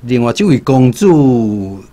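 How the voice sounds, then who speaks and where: An actor playing a courteous, deliberate fortune-teller in a radio drama, speaking Taiwanese Hokkien with a measured, polite manner. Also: male voice, medium pitch, very animated, mellow. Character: male fortune-teller, courteous, deliberate, reading written characters.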